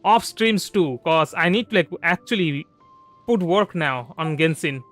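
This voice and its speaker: A man with a notably high, bright voice gives casual gameplay commentary on a livestream. He rambles, circling back to repeat and restart phrases as he goes. Light music plays behind him. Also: animated, measured pace. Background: soft background music.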